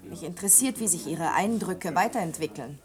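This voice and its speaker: A woman's voice: lowish voice, lively intonation, a little fast, a crowd in the background, close to an everyday voice. An actress in a 1960s radio play playing a self-assured, forthright young woman who challenges authority. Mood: pointed, confident.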